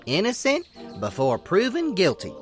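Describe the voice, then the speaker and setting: A woman's voice: medium pitch, wildly swooping pitch, normal speed, soft background music. A woman voicing a friendly, trusting female animal companion who talks in a folksy, easygoing, upbeat way in a fan comic dub. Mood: cheerful.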